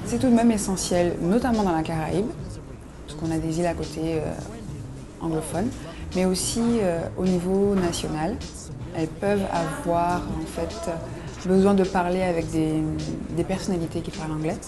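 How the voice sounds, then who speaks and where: A woman organizing a pageant who explains its lessons in a measured way and often pauses to hesitate. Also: lowish voice, fairly even intonation. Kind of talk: explanatory interview commentary. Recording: music bed underneath.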